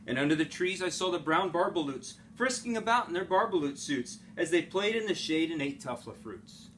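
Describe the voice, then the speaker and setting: A man reads a picture book aloud with theatrical, swooping delivery. His voice is fairly high and bright, with faint noise in the background. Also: expressive, a little fast, rough recording. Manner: dramatic, theatrical phrasing. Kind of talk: expressive children's story reading.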